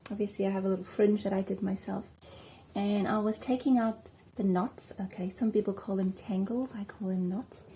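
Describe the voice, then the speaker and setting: A South African woman chatting casually at home while doing her hair, rambling and filling pauses with ums. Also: medium pitch, steady tone, dark tone, a little hiss or hum. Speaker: vlogger. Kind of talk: casual hair tutorial chat.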